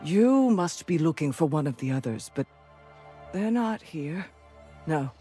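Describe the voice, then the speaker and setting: An actress playing a confused, frightened woman constable in a video game, halting, rambling and repeating herself. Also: sits low, lively intonation, mellow, soft background music. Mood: confused, agitated. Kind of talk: dramatic video game character acting.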